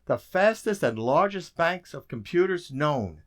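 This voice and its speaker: An actor playing a weary, dry-witted government codebreaker in an emphatic radio drama style. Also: male voice, fairly high pitch, animated, unhurried. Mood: weary, frustrated.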